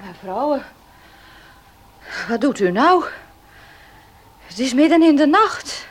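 Dutiful housemaid, noisy recording. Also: female voice, high-pitched, very animated, takes it slowly, full character voice. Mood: concerned, deferential. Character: dutiful, patient female housemaid roused from sleep. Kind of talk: Dutch radio drama acting. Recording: noisy old radio play recording.

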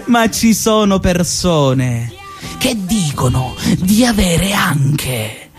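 A man with a Sicilian accent performing a satirical theatrical monologue, mocking and swinging between a sing-song lilt and sudden shouts. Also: high-pitched, very animated, very slow, soft background music. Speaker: actor in a one-man radio show. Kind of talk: satirical theatrical monologue mimicking a radio host.

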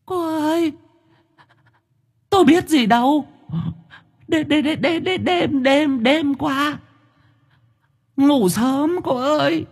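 A man doing a shrill whiny female ghost. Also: slow, creaky, pauses often, exaggerated voice. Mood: whimpering. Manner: whiny, stammering, mocking. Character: cheeky shrieking female village ghost.

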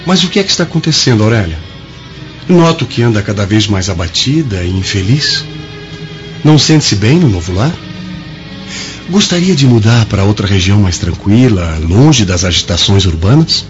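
An actor playing a doting, concerned young Roman husband in a radio drama, tender and solicitous in manner. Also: male voice, fairly low pitch, big pitch swings, normal speed. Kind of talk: dramatic radio play acting. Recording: dull lo-fi audio under clear music.

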